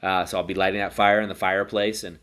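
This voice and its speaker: Stuffed up guy w a cold, lowish voice, rambling self help chat from a hotel room. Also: flat delivery, quick pace, fairly bright tone, clear, resonant tone. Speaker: podcast host. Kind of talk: casual daily self-help talk.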